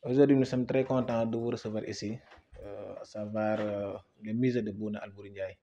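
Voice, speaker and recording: A man's voice: on the low side, steady tone, brisk, warm tone, a little hiss or hum. A young man from Senegal, a history student, giving a guided museum tour, hesitant and full of fillers and repeated words. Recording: dull lo-fi sound.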